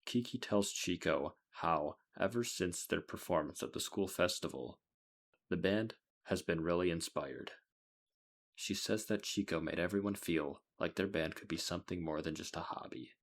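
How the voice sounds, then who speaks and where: A man's voice: low-pitched, normal speed. A man giving a scripted story recap as the narrator of a video essay. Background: loud guitar music.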